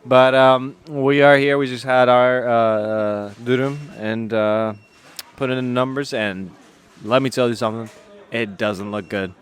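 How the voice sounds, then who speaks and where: A man's voice: medium pitch, level tone, unhurried, smooth. A young man with a Danish accent, chatting casually as podcast co-host, rambling with many fillers and restarts. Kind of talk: casual chat.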